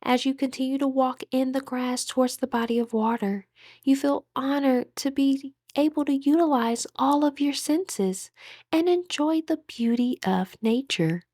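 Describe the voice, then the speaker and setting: A woman guiding a meditation in a soothing, deliberate manner with gentle pauses. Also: high voice, no room sound, clean studio sound. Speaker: meditation guide. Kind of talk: guided meditation narration.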